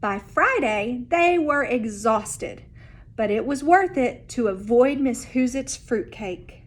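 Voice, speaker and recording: A woman reads a picture book aloud as a teacher would to young pupils. Her voice is high and her pace slow and careful, with expressive phrasing. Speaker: elementary school teacher. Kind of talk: children's read-aloud narration.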